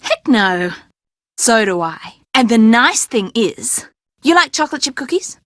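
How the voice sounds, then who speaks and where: A woman's voice: fairly high pitch, big pitch swings, unhurried, fairly bright tone, no room sound, studio quality. A woman playing a chatty, sassy young fashion model who likes to fib, in video game dialogue with a dramatic, emphatic delivery. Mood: playful. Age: young adult.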